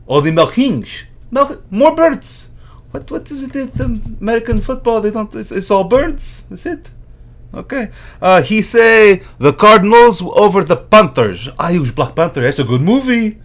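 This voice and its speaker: Man putting on a cheerful Azorean Portuguese immigrant, Portuguese accent, broken English, bumbling, sidetracked, laughs a lot, slightly high voice. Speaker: podcast guest. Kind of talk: comedy character monologue.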